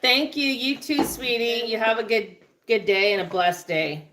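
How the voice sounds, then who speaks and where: A woman's voice: fairly high pitch, expressive, normal speed, a bit bright, a little hiss or hum, poor audio. Chatty West Texas woman in her forties. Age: 40s. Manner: chatty, affectionate, reads out viewer names between serving food. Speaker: livestream co-host. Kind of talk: casual kitchen chat on a livestream. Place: home kitchen.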